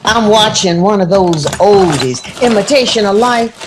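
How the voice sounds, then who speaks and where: A woman's voice: medium pitch, lively intonation, moderate pace, noisy background, close to an everyday voice. An actress playing a distracted, wry middle-aged mother in an audio drama. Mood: casual. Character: distracted, wry mother of grown children, movie buff.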